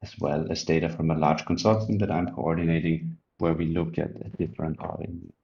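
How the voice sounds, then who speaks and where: A man's voice: a bass voice, little pitch movement, a little fast, creaky, a little mellow, muffled recording, a little hiss or hum. A man in his thirties from Austria with an Austrian German accent, earnestly explaining his immunology research with many ums and uhs. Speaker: immunology researcher, group leader. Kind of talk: self-introduction explaining research.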